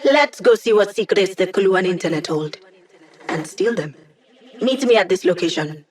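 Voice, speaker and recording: A woman's voice: mid-range voice, expressive, normal speed, close to an everyday voice. A woman playing a brainy, wry digital hacker in a video game, talking casually and playfully as the squad's tech support. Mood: focused, casual. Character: cheeky, brainy female hacker, a digital holographic young woman.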